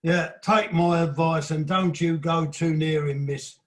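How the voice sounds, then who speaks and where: Placid, deferential working man played by a man, higher-pitched. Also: fairly even intonation, unhurried, natural voice. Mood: placid, soothing. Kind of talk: comic period play acting.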